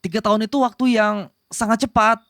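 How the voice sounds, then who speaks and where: A man's voice: high voice, steady tone, brisk, sharp tone, dry recording, clean studio sound. Casting: male actor for a young demon prince, ASMR roleplay, tsundere, cold and imperious yet easily flustered into rambling. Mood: exasperated. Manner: switches between cold menace and flustered casual rambling. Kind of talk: Indonesian ASMR roleplay acting.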